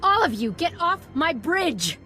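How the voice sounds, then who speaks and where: An actress playing an angry, unyielding captured ship officer in a video game, bitter and forceful. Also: female voice, very high-pitched, sing-song, measured pace, loud music behind the voice, full character voice. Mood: bitter defiance. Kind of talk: dramatic video game acting.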